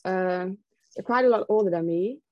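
A woman's voice: medium pitch, normal speed, no echo, lo-fi sound. A young woman, chatting casually as an interview guest. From Netherlands. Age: young adult. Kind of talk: casual chat.

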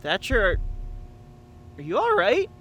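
A man acts a frightened boy in a horror audio drama. His voice leaps around at a very high pitch and he stammers in confusion and alarm. There is faint noise behind him. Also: huge pitch swings, slow, a bit bright, heavily acted. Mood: anxious, searching. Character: frightened, bewildered boy named Timothy at camp.

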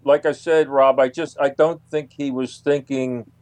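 A man speaks as a researcher being interviewed, in a mid-pitched voice with a dry sound. He talks conversationally but haltingly, often restating a phrase to drive it home. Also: steady tone, darkish tone, dry recording. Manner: halting, repeats phrases for emphasis.

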